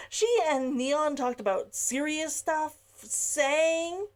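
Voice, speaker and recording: A woman author reading her own story aloud and chatting to viewers, expressive, with sighs and laughs. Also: high voice, extremely slow. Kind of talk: read-aloud narration and chatty asides.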